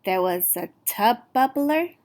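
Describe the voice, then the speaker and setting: A woman storyteller reads a picture book to children. Her voice sits fairly high and she takes her time, very slowly and deliberately. She stresses key words in an expressive storytelling style. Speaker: storyteller reading aloud to children.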